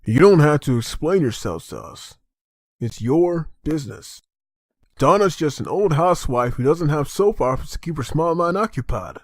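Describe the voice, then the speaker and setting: An actor playing a steady, friendly small-town cop among survivors in a comic dub. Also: male voice, fairly high pitch, normal speed, dry recording, clean studio sound. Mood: reassuring. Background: background music.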